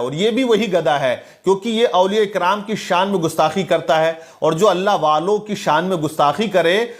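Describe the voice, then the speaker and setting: A man presenting online news commentary in a preachy, denunciatory manner, quoting religious sayings. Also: high-pitched.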